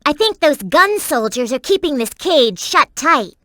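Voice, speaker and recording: A woman's voice: high voice, sing-song, unhurried, fairly bright tone, no echo, clean studio sound, full character voice. A woman voicing a perky, earnest and bossy young girl companion in a video game, with emphatic delivery. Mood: helpful, curious. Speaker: in-game hint-giving companion.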